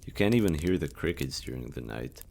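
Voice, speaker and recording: A man's voice: very deep voice, animated, a little fast, creaky, a little mellow, a little hiss or hum, exaggerated voice. A man acting as a lonely, easygoing survivor of a nuclear apocalypse in an audio roleplay, talking casually and candidly with some hesitation. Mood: casual, upbeat.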